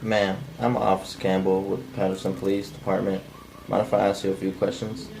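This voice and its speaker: A man reading the part of a formal, matter-of-fact police officer in a flat, halting script read, with a gravelly voice. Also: low voice. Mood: businesslike. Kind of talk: cold table read of a film script. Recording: faint background noise.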